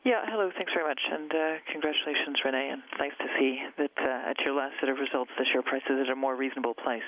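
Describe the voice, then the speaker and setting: A man's voice: medium pitch, fast talker, dry recording. A man speaking over a telephone line as a financial analyst putting spontaneous questions on a conference call. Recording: narrow tinny telephone line.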